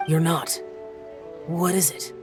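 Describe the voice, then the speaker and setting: A man's voice: fairly high pitch, fairly even intonation, slow, music in the background. A man voicing a reserved, solemn warrior immortal, youthful yet ancient, in an anime game, speaking tersely and formally. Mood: curt.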